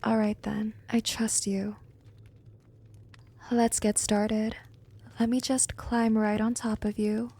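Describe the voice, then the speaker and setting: A woman voicing a gentle, teasing, seductive vampire hypnotist in a soft, breathy ASMR roleplay, coaxing and soothing. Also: on the high side, little pitch movement, takes it slowly, pauses often, full character voice. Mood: cautioning, soft. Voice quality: soft, breathy, often whispering.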